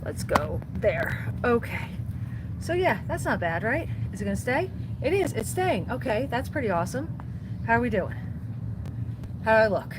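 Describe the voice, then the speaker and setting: A woman's voice: fairly high pitch, very animated, moderate pace. Chatty upbeat woman over background noise. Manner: chatty and upbeat, often saying "so yeah". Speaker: record store owner livestreaming. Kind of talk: casual livestream chat, reading viewer comments. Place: inside a record store. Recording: noisy background.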